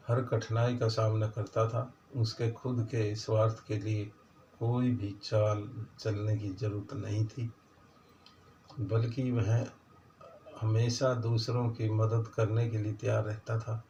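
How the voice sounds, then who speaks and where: A man reading a moral story for children in a plain, halting way, with little change for the characters. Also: fairly low pitch, warm tone. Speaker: storyteller, channel host. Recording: distant mic, echoey room, dull, faint noise.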